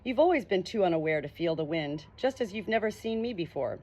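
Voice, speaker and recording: A woman's voice: fairly low pitch, lively intonation, darkish tone. A woman voicing a creepy, smug male ghost in an audiobook, condescending and theatrical, drawing out his words. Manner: condescending, drawn-out, dramatic delivery.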